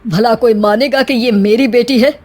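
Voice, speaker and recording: A woman's voice: fairly high pitch, steady tone, moderate pace, darkish tone, lightly acted. A woman voicing a stern, disappointed middle-aged traditional father in a cartoon story, reproachful and lecturing. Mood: exasperated.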